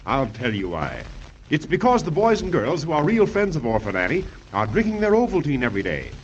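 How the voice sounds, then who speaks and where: A man's voice: fairly high pitch, wildly swooping pitch, brisk. A man announcing an old children's radio serial, emphatic and dramatic, addressing young listeners directly. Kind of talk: 1930s-40s radio announcing, dramatic recap and sponsor pitch. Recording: muffled old radio broadcast with hiss.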